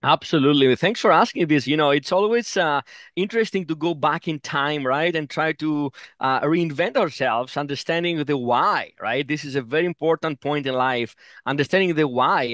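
Middle-aged man, reflective and halting, dry close headset sound. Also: fairly high pitch, fairly bright tone, dry recording. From Greece. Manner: thoughtful, many "uh" fillers, repeats words. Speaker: oncologist guest. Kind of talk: interview answers. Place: home room, video call.

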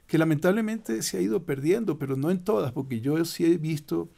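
An older man with a gravelly, mellow voice talks as a priest on a studio radio show. He explains patiently and turns to the listeners with rhetorical asides. The sound is close and clean from a studio mic. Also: on the high side, a little fast. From Ecuador. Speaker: Jesuit priest guest. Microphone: studio microphone with headphones.

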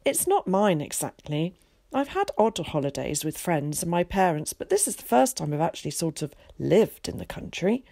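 A bright, eager schoolboy spills out friendly chatter in a raised, lively voice, as a woman reader puts him on. The words bounce up and down in pitch, and the sound is close and dry with no room around it. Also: creaky, no room sound, heavily acted. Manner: quick, bright, well-spoken chatter.

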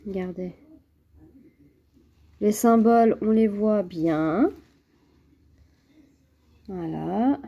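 A woman's voice: medium pitch, very slow, pauses often, muffled recording. A woman with a cold, chatting casually and hesitantly to camera at home while unboxing a craft kit, with many fillers and restarts. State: has a cold. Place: at home, at a table.

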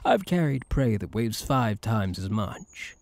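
A dark-voiced, possessive male elf acted by a man for an ASMR roleplay, slow and intimate. He coaxes and soothes his beloved with devoted intensity, husky and swooping between low murmurs and lighter tones. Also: very animated, no room sound, full character voice. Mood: soothing, protective. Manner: coaxing, soothing, intense, often dropping to a whisper.

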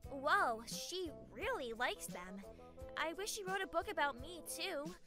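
An excitable teenage cartoon girl, acted by a woman, with a high, very lively voice. She takes her time over words and comes across bright, with music behind her. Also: unhurried, exaggerated voice. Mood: wistful, curious. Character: excitable teenage witch-school girl in a comic. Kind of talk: cartoon comic dub acting.

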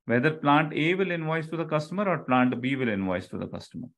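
A man's voice: fairly high pitch, quick pace, vocal fry, fairly bright tone. Indian-accented man teaching a live online lecture. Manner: explanatory, repeats key phrases, checks with "okay" and "right". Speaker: online software instructor.

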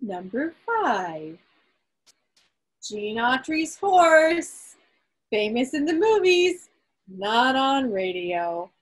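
A woman hosting a quiz on a group video call, reading out results to the group with deliberate emphasis. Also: high-pitched, big pitch swings. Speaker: quiz host at a club meeting.

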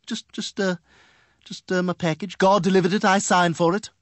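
A man voicing a precocious, flamboyant little boy in animated comedy, with a hammy, melodramatic delivery. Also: high voice, moderate pace, no room sound, natural voice. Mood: awkward, showy.

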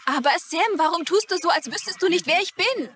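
A woman's voice: extremely high pitch, animated, a little fast, fairly bright tone, slight background noise. A woman playing a hurt, earnest jilted fiancée, pleading in a TV drama. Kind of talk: dubbed TV drama dialogue in German.

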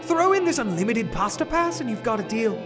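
A man's voice: very high-pitched, big pitch swings, brisk, music in the background. A man playing a flippant, sarcastic young wizard in a comic dub, with a sassy, dry way of talking.

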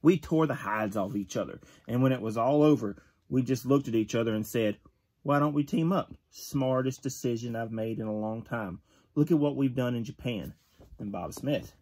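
A man in his thirties reading a wrestling magazine interview aloud at home, gruff and tough-talking, with the odd stumble, recorded on a phone camera at close range. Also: mid-range voice, normal speed, a little mellow. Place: bedroom with shelves of collectibles.

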